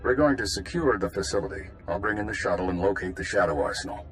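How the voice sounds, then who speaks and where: An actor playing a confident spymaster in a video game, briefing a covert squad with authority. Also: male voice, sits low, animated, quick pace, faint music behind. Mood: commanding.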